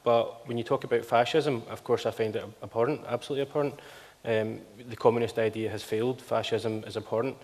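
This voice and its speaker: A man's voice: medium pitch, steady tone, fast, a little mellow. A man speaking in a public debate, hesitant with many fillers and restarts. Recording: long hall reverb.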